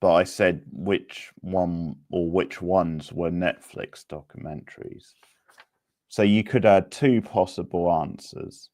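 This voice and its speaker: Man, quiz host, deep voice, unhurried delivery, casual and deliberate. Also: darkish tone. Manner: deliberate, reads out options and answers. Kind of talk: hosting a casual video game quiz.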